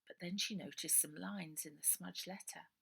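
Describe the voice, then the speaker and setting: A woman reading her novel aloud as dramatic, expressive and suspenseful storybook narration. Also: on the low side, normal speed, vocal fry, breathy edge. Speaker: author reading own novel.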